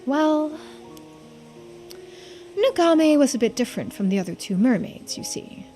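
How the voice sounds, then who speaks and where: A woman's voice: high voice, big pitch swings, measured pace, mellow, soft background music. A woman voicing a composed, courteous keeper of old lore in a video game, explaining things politely. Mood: knowing, composed. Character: calm, knowing, courteous storyteller of mermaid lore. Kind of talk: video game dialogue read aloud in character.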